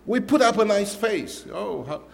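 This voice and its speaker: A man's voice: sits high, sing-song, moderate pace, gravelly, in a large hall. A man whose first language is Romanian, a pastor preaching a conversational sermon in English, full of "you know" and restarts.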